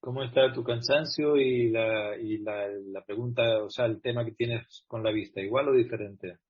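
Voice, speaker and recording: A man's voice: mid-range voice, brisk, breathy edge. A man interpreting between languages on a webinar call, relaying answers briefly with hesitant fillers. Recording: muffled online call audio.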